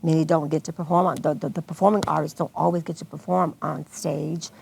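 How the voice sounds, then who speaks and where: A woman with an American accent speaks in a notably low register. Her voice is very creaky and gravelly. She answers as an event organizer in an unscripted television interview. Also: level tone, fairly quick, darkish tone, slight background noise. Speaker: guest, arts festival organizer.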